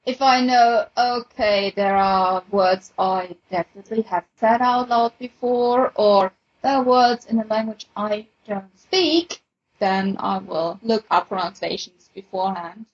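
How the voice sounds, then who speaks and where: A woman's voice: medium pitch, a little slow, breathy edge, highs cut off. A woman who speaks English as a second language, chatting casually as a podcast panelist. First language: a language other than English. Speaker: podfic creator and podcast panelist.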